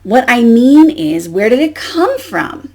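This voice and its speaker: A woman's voice: on the high side, slow pace, pitched above the performer's own voice. Woman reading as a huffy, scolding storybook duck. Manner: expressive, emphatic storybook read-aloud.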